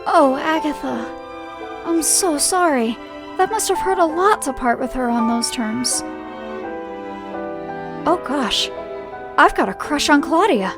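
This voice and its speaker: A woman's voice: high voice, lively intonation, slow pace, pauses often, over music, exaggerated voice. A woman voicing a young female alchemist who is earnest and easily flustered, in a fan audio drama. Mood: sympathetic. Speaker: voice actor.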